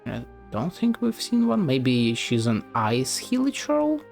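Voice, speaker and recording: A man's voice: medium pitch, wildly swooping pitch, takes it slowly, gravelly, darkish tone. A man giving casual game commentary as a streamer, musing aloud with sardonic, sweary remarks. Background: faint game music.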